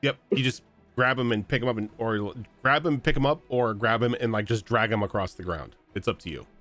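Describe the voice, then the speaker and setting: A man's voice: lowish voice, fairly quick, a bit bright, soft background music. A man running a tabletop game as game master, narrating with many fillers and restarts over an online call. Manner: hesitant, full of fillers and restarts.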